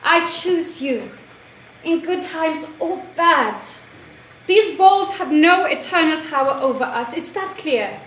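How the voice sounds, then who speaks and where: A woman's voice: high-pitched, lively intonation, slow, a bit bright, heavily acted. A woman playing a devoted, plucky young German fiancée in a theatrical, declamatory stage style. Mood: resolute. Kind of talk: stage play acting. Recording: echoey stage recording, faint noise.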